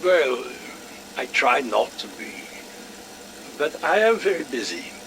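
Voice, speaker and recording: An actor playing a fussy, wry scientist with a formal, pedantic manner in an audio drama. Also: male voice, mid-range voice, big pitch swings, slow, fairly bright tone, a little hiss or hum, exaggerated voice, poor audio. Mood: dry, needling. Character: fussy, wry, verbose male scientist, smugly playful.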